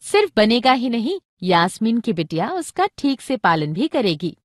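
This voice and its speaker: Practical, caring mother, educational drama, very animated instructive delivery, dry close recording. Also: on the high side, moderate pace, no echo. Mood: earnest, instructive. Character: practical, caring Indian mother explaining parenting to her husband. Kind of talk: scripted educational audio drama dialogue.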